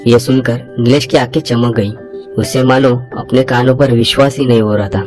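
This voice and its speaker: A man narrating a bedtime story in a mid-range voice, dramatic and measured, with loud music running beneath every line. He lifts his voice when he speaks for the young hero. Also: fairly even intonation. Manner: dramatic storyteller, voices the hero's lines higher.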